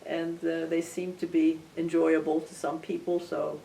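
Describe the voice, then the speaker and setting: An older woman, a composer whose first language was Armenian, talking thoughtfully in an interview with frequent restarts and soft laughs. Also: sits low, flat delivery, a little slow, creaky, a little hiss or hum. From Belmont area, United States. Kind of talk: casual reflective interview. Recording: recorded from some distance.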